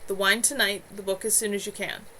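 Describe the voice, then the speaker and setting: A woman's voice: medium pitch, flat delivery, a little fast, bright, forceful tone, lightly acted. A woman voicing an ambitious female witch from a storybook, imperious and businesslike. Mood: brisk, decisive. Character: ambitious witch coven member, female, hungry for knowledge. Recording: clearly noisy home livestream.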